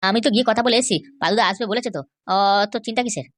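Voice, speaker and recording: A woman's voice: on the high side, very fast, natural voice. A woman voicing an opinionated village helper in an amateur cartoon, handing out assertive, colloquial advice. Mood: reassuring.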